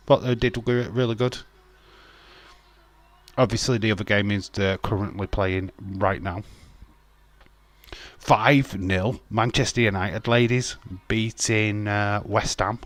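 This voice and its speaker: Slow, dry-sounding Yorkshire man chatting. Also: lowish voice, steady tone, no room sound. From Yorkshire, England. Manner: rambling, laughs often, frequent restarts and filler. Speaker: livestream host. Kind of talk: casual watch-along chat, reading viewer comments.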